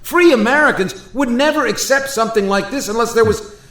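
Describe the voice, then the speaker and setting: High-voiced indignant founding father, acted by a man. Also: a little fast, fairly bright tone. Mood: incredulous. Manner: oratorical, emphatic, formal. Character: indignant Thomas Jefferson, aghast at modern America. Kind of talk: amateur radio play acting. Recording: roomy, echoey voice recording.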